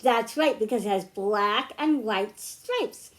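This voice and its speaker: American female children's librarian, high register, slow delivery, child-directed read-aloud and simple teaching. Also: expressive. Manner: simple, child-directed phrasing with questions to listeners. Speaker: children's librarian hosting storytime.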